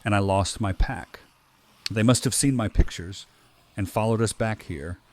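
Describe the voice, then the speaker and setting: A man's voice: fairly low pitch, moderate pace, darkish tone, lightly acted. A man acting a guilt-stricken, honest survivor in an audio drama, in a somber, confessional manner. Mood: guilty, somber.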